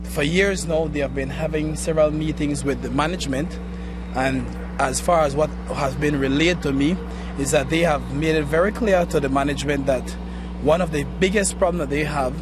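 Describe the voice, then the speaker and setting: A man making a statement in a news interview, with careful, measured phrasing. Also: fairly high pitch, background noise. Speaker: association president, interviewee.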